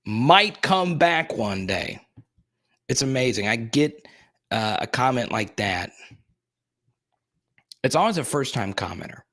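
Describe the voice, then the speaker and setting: A young man chats casually on his live stream in a plain, everyday voice at an ordinary middle pitch. He rambles along and reads out viewers' chat comments, answering them as he goes. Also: big pitch swings, slow pace, long pauses, fairly bright tone.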